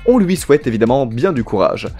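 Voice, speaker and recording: Fast-talking mock-formal male news host. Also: medium pitch, big pitch swings, warm tone, faint music behind. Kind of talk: scripted parody news narration.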